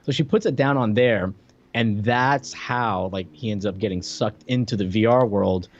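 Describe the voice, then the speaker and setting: A man's voice: lowish voice, normal speed, lo-fi sound. An American man co-hosting a podcast, talking through a TV show in an informal, hedging, conversational way. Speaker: podcast co-host. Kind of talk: casual chat reviewing a TV show.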